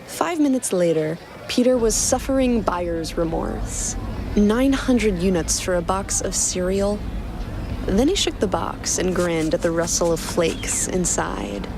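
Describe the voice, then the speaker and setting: Female podcast narrator, mid-pitched, scripted story reading with clear music underneath. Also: lively intonation, a little slow.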